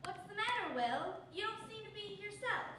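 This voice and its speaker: A woman's voice: very high-pitched, animated, moderate pace, background noise. A young woman playing a wholesome, solicitous housewife in an old-time radio commercial performed on stage. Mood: concerned. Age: young adult. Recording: very echoey distant stage recording.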